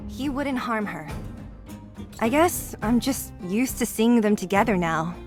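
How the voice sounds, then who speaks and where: A woman's voice: fairly high pitch, animated, measured pace. A woman voicing a tough young underground fighter in a video game, brusque and matter-of-fact. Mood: resigned, blunt. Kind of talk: scripted video game voice acting. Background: game music.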